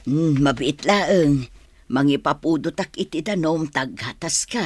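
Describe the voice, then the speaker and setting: A performer playing a concerned, cautioning mother of a grown son in an Ilocano radio drama, with a husky voice. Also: medium pitch, expressive, measured pace.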